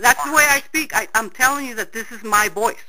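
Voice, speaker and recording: Loud, insistent woman on a phone call. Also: fairly high pitch, brisk, a crowd in the background. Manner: loud, insistent, repeats herself. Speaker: caller.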